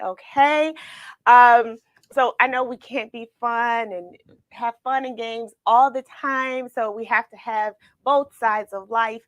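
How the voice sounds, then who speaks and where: Higher-voiced woman hosting a read-along. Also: fairly bright tone, dry recording. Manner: cheerful, addressing the audience directly like a children's teacher. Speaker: host of a children's book read-along livestream. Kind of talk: casual livestream chat with viewers.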